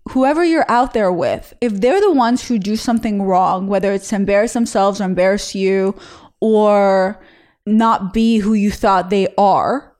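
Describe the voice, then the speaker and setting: A woman's voice: mid-range voice, darkish tone. A woman hosting a confession show, reacting bluntly with swearing and giving encouraging advice. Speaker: host. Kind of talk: casual reaction talk.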